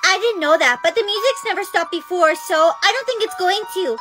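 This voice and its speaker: A woman's voice: extremely high pitch, lively intonation, a little fast, over music. A woman playing a sweet, naive little child in a skit, talking in a simple childlike way. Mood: cheerful, naive. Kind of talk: acted children's horror skit.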